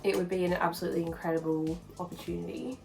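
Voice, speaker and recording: Woman vlogger, lowish voice, informal and confiding, rambling personal chat with faint music behind. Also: fairly even intonation, quick pace, gravelly, mellow. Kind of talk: casual personal vlog chat to camera. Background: background music.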